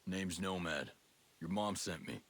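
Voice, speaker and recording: An actor playing a gruff, steady special forces operative with quiet menace in a video game. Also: male voice, sits low, measured pace, exaggerated voice. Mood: calm, businesslike. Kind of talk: video game cutscene acting.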